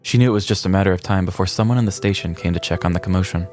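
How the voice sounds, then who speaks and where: Male podcast co-host, low register, scripted humorous crime narration, light music bed. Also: very fast, darkish tone. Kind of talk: scripted comedic true-crime storytelling.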